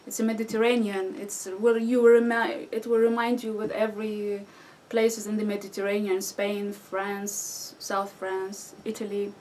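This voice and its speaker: A woman's voice: medium pitch, level tone, darkish tone. A Syrian woman in her thirties, a guest speaking hesitant with frequent restarts and fillers. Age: 30s. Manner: halting non-native English with restarts and fillers. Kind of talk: conversational interview answers. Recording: distant mic with faint background noise.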